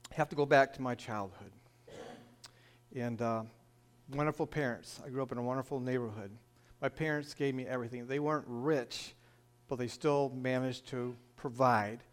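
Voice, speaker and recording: An older man with a Michigan accent shares his testimony at a middle pitch. He tells it earnestly, like a story, pausing often on "uh" and "um" as he goes. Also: fairly even intonation. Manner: earnest, storytelling, with frequent fillers. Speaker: church testimony speaker. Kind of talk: personal testimony.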